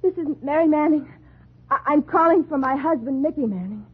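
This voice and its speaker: A woman's voice: high voice, expressive, brisk. An American actress playing a scheming, melodramatic wife who framed her husband, in 1950s radio drama style. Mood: cowed, tearful. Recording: muffled, noisy old radio recording.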